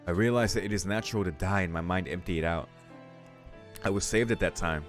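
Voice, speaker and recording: A man's voice: deep voice, a little fast, soft background music. A man reading visual novel narration aloud dramatically as a let's play host.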